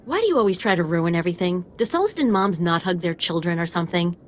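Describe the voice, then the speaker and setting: A woman's voice: lowish voice, expressive, fast, slight background noise, close to an everyday voice. A woman acting an earnest, idealistic young female scientist in a video game, speaking expressively. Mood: exasperated.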